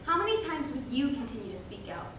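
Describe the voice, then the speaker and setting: A woman's voice: sits high, sing-song, quick pace. A young adult woman performer giving an emphatic, theatrical rehearsed stage presentation. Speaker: college forensics performer. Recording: very echoey room, distant mic, clear noise.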